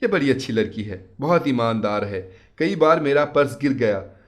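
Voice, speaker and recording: A man's voice: mid-range voice, lively intonation, normal speed, lightly acted. Guy reading as a friendly lady doctor pal, chatty, matter-of-fact, storybook style.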